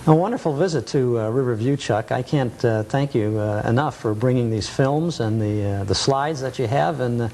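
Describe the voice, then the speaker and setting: A man's voice: mid-range voice, moderate pace, hardly any pauses, darkish tone, slight background noise. A middle-aged man hosting a show in a folksy, conversational, genial way. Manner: genial, appreciative. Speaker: show host.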